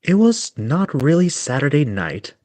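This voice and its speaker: Guy narrating a storybook, dramatic, really up and down pitch, dry close sound. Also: very animated, measured pace, darkish tone, no echo. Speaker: narrator in a group reading.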